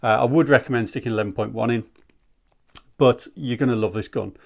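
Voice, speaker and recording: A man's voice: medium pitch, brisk, gravelly, a little mellow. A man giving a casual, candid product review in a conversational, rambling way. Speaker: airsoft gear reviewer.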